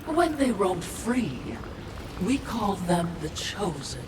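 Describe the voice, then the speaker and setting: A woman voicing a clever, wary spirit servant in a video game, deferential to the mistress yet gently chiding. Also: medium pitch, big pitch swings, slow, very noisy. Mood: solemn. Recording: echoey, distant, loud music behind.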